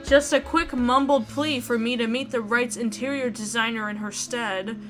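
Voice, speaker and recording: Female game streamer, slightly high voice, reading story text aloud, casual reactions, background music. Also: steady tone, moderate pace, fairly bright tone. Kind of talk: let's play commentary, reading visual novel narration aloud.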